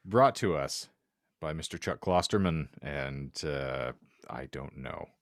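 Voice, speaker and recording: A man's voice: very deep voice, lively intonation, slow, no echo. A man co-hosting a podcast with dry, teasing banter in a casual style. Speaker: podcast co-host. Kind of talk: casual banter and reading aloud a hypothetical.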